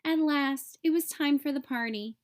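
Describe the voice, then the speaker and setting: A woman narrating a children's storybook aloud in an expressive, clearly phrased way. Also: high voice, normal speed.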